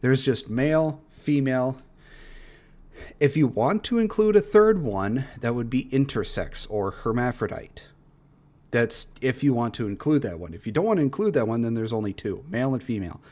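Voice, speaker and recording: A man streaming his reactions in a loose, unscripted way. He sits at a middle, ordinary pitch and delivers flat, blunt opinions heavy with swearing, sometimes trailing off into mumbles. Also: moderate pace. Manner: blunt, profane ranting with frequent swearing. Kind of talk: casual reaction commentary.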